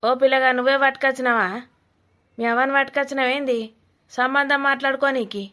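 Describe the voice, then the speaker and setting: Old Telangana village granny for a comedy cartoon, female performer: high-pitched, monotone, blunt, mocking. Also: a little fast. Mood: suspicious. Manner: blunt, earthy, mocking, informal.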